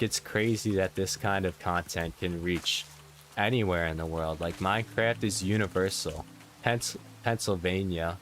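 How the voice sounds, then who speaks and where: Low-voiced chatty streamer from New York. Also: male voice, fairly bright tone, a little hiss or hum. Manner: rambling, self-correcting, chatty with viewers. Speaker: Minecraft livestreamer. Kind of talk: casual live-stream chat reading viewer comments.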